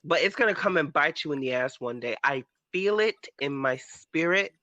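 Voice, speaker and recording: A man chatting casually and giving animated opinions in a sassy, campy, emphatic way, full of slang. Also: on the high side, normal speed, dry recording, highs cut off. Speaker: panelist on a pop culture talk show.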